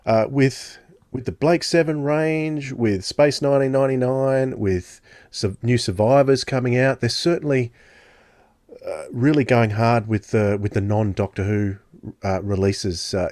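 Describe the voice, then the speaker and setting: A man's voice: fairly low pitch, moderate pace, a little mellow, no echo, clean studio sound. A man chatting casually as co-host of a podcast. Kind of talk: casual chat.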